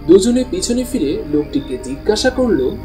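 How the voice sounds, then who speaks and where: Mellow male cartoon story narrator. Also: high-pitched, big pitch swings, normal speed, soft background music.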